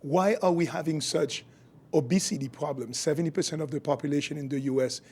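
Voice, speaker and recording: Middle-aged man from Haiti, husky voice, slightly high pitch, physician, explanatory interview style. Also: brisk, a little mellow. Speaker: guest, functional medicine physician.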